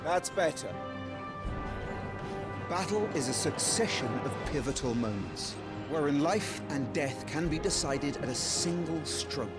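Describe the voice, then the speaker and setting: A seasoned swordmaster for a fantasy game. His voice sits a little high for a man, and he lectures with theatrical formality and a wry, teasing edge. Loud music plays behind him. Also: expressive, unhurried. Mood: wry, instructive. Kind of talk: fantasy video game acting.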